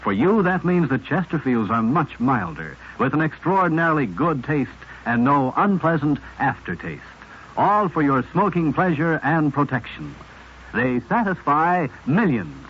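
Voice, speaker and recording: A man's voice: a little high, sing-song, measured pace. A man announcing a scripted 1950s radio commercial with an emphatic, polished salesman's delivery. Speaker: advertising announcer. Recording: muffled old radio recording, faint noise.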